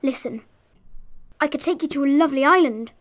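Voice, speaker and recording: A small talking monkey from a children's storybook, acted out by a narrator. His voice is very high, with a plaintive, imploring and courteous manner. He sounds gentle, sad and frightened. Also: fairly quick, lightly acted. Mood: coaxing.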